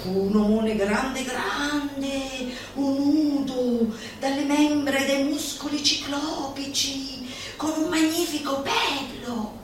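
A woman's voice: sits high, background noise. Theatrical woman reciting in a very echoey space. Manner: declamatory, playful, dramatic pauses. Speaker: solo stage performer. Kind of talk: theatrical recitation of a manifesto with audience asides. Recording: very echoey live hall, distant mic, music behind.

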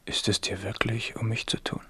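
A man's voice: lowish voice, level tone, normal speed, sharp tone, husky, no room sound, in a whisper, heavily acted. Wary, ardent captive young king. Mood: wary, tender. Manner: questioning, intense. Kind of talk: 1970s German radio play acting.

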